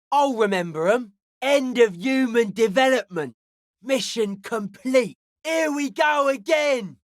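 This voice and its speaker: A man's voice: extremely high pitch, no room sound, clean studio sound. A man playing an intense young hardcore raver in a video game, with a slangy, preachy, grandiose way of talking. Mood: blunt, musing. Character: intense young male hardcore raver, drug-loving, anti-authority philosopher. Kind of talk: video game character dialogue.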